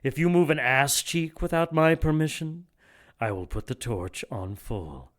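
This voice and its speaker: An actor playing a menacing, pompous leader of a religious order in an audio drama, speaking formally and gloatingly. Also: male voice, fairly high pitch, animated, unhurried. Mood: commanding.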